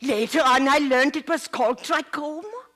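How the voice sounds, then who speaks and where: A woman's voice: sits high, normal speed, a bit bright. Woman playing an old poorhouse woman. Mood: hushed. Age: older. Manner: dramatic storyteller, gasps and drops to whispers. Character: old sick Irish-sounding woman in a poorhouse. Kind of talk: theatrical one-woman historical monologue.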